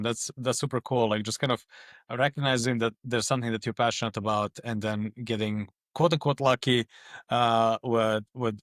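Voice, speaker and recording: A man's voice: mid-range voice, a bit bright, dry recording, studio quality. A man hosting an interview, reacting with enthusiasm and hesitant fillers as he sets up a question. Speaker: podcast host.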